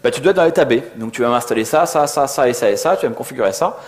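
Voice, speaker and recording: A man's voice: mid-range voice, expressive, very fast. A man giving an informal technical conference talk in a slangy, conversational way, often acting out little dialogues. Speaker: conference co-speaker, software developer.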